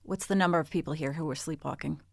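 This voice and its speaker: A woman's voice: low-pitched, fast talker, vocal fry, darkish tone, no room sound, clean studio sound. A woman playing a sceptical state police detective in an audio drama, terse and probing. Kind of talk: dramatic podcast acting.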